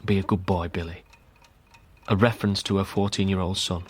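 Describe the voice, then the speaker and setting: An actor playing a sober man reading out a grim account in a measured, matter-of-fact way in a radio drama. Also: low voice, flat delivery. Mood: solemn.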